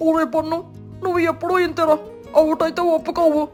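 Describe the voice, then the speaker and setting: A woman's voice: very high-pitched, flat delivery, brisk, darkish tone, faint music behind. A woman voicing a young cartoon bird playmate, childlike and chiding.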